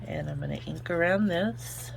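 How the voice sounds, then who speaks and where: A woman's voice: on the low side, moderate pace, gravelly, a little hiss or hum. A woman with an Oklahoma accent, chatting her way through a crafting tutorial and thinking aloud as she works. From Oklahoma, United States. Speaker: craft video host.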